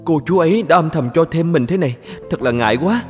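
A poor young male student in an animated fairy tale, played by a man. His voice is on the high side, polite and hesitant, very deferential to his elders. Soft music plays behind him. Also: brisk, natural voice. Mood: surprised, touched. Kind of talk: animated moral-tale dubbing.

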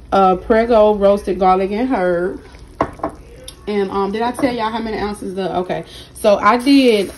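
Hoarse woman chatting while she cooks. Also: mid-range voice, darkish tone, slight background noise, poor audio. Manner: chatty, keeps addressing viewers directly. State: hoarse, partly lost voice. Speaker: cooking channel host. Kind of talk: casual cooking vlog narration. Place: home kitchen at the stove. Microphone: phone held in hand while cooking.

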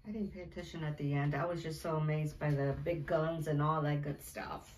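A woman's voice: low voice, fairly even intonation, brisk, darkish tone. Casual woman in an echoey room. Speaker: reaction video co-host. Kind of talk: casual chat with a partner. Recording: echoey room with faint background noise.

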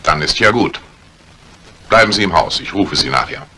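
An actor playing a brusque, authoritative police inspector in a 1950s German radio crime drama, questioning and giving orders deliberately. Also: male voice, deep voice, expressive, pushed and bright, heavily acted. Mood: probing, curt. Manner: probing, commanding, deliberate. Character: brusque, authoritative middle-aged male police detective inspector. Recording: noisy vintage 1950s radio drama recording.

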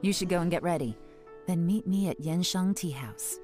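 A composed female spymaster from a game, played by a woman. Her voice sits low and steady, and every order comes out dry and unhurried. Music runs behind her. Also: lightly acted. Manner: calm, dry and commanding.

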